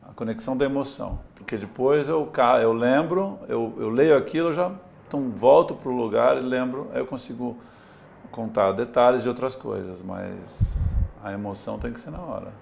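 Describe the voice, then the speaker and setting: A man's voice: medium pitch, moderate pace, vocal fry, darkish tone. A man from Santos, Brazil, a sailor talking in an interview in a reflective, storytelling way. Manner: reflective, tells stories with small laughs and "né?". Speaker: sailor and adventurer. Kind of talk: relaxed interview talk.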